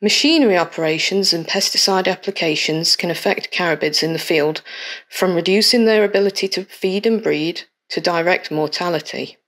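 A woman reading a scripted educational voice-over in a clear, measured, explanatory way. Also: on the low side, fairly bright tone. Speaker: science explainer narrator.

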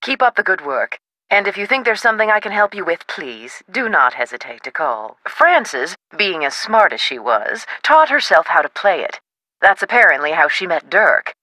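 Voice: mid-range voice, big pitch swings, moderate pace, bright, forceful tone, no room sound, poor audio. An actor playing a self-assured, chatty, theatrical historical novelist in a video game. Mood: upbeat, encouraging. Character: high-spirited, self-promoting writer of historical novels, local history buff. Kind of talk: video game character dialogue.

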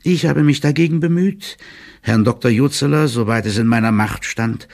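A man playing an older, loyal court clerk who delivers a confidential report in a formal, measured and officious manner, as in an audiobook drama. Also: mid-range voice, gravelly, a little mellow, natural voice. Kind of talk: dramatised first-person reading of a formal written report.

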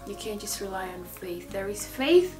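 A woman's voice: medium pitch, animated, moderate pace, music in the background. Teasing young woman in a bedroom chat. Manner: teasing, joking, laughs between phrases. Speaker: guest co-host. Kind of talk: casual chat. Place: bedroom, indoors.

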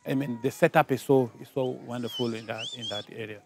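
A man doing community outreach, speaking haltingly in an interview with many repeated words. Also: mid-range voice, fairly even intonation.

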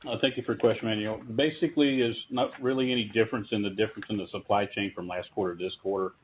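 Deep, monotone male executive. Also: fast talker, slight background noise. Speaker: company executive on an earnings call. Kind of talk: formal business explanation. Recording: dull band-limited conference call.

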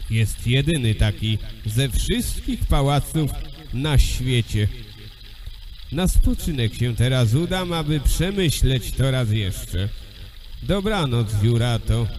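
A man's voice: medium pitch, a little mellow. A man playing a regal, fatherly sea king in a fairy-tale audio drama, speaking in solemn, formal, old-fashioned phrases.

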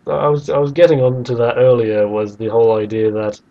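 A man with a mellow, relaxed tone argues casually as a podcast host, often slipping into mock quotes. His pitch is average, and the recording is a little dull and lo-fi. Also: brisk. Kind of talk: casual debate about video games.

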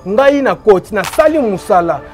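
A man from Boma in Congo, a traditional healer, explaining his remedies in an interview, repeating phrases and trailing off low. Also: lively intonation, moderate pace, soft background music. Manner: repetitive, emphatic, trailing off low.

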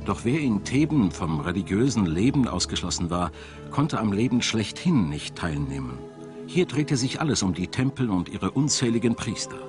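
A man narrates a documentary in a fairly low voice. His scripted delivery is measured and tells the material like a story. Music plays clearly behind him. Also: sing-song. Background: background music.

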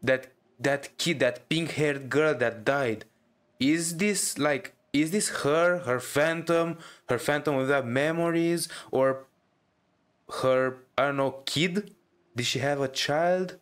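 A man giving casual reaction commentary as a gaming streamer, musing and halting as he goes. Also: a little high, fairly bright tone.

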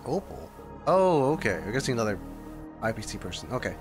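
A man's voice: medium pitch, big pitch swings, fairly quick. A young man giving casual gaming commentary, reacting aloud as he plays. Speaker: streamer reacting to a game. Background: game music.

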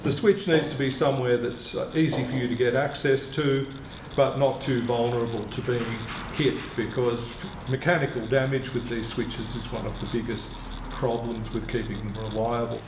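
Male, 60s, methodical product presenter, mid-pitched voice, roomy shop sound with clear background noise. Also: fairly even intonation, normal speed, few pauses. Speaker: kayak retailer presenting a product. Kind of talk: explanatory product demonstration. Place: indoor kayak shop or workshop.

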